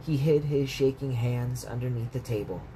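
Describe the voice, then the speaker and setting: A man's voice: medium pitch, moderate pace, dark tone, rough recording. A man reading a bedtime story aloud as a storyteller, in scripted narration. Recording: clear background noise.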